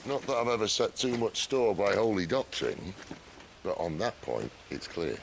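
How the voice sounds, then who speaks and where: An actor playing a gruff, wry, world-weary rogue leader in a fantasy video game, with a British accent and a dry, sardonic, gravelly delivery. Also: male voice, very animated, moderate pace, slight background noise. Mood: musing. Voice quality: deep, gravelly. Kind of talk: video game cutscene acting.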